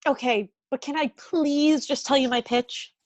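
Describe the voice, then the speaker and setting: A woman's voice: high-pitched, fairly even intonation, unhurried, a little mellow, lo-fi sound. A woman acting an earnest, eager thirty-year-old psychologist in a comedy table read, polite in manner.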